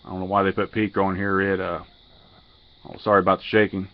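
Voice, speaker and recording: Low-voiced man, dull lo-fi sound. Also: level tone. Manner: halting, matter-of-fact. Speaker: amateur radio hobbyist demonstrating a modified radio. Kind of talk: casual hands-on technical walkthrough.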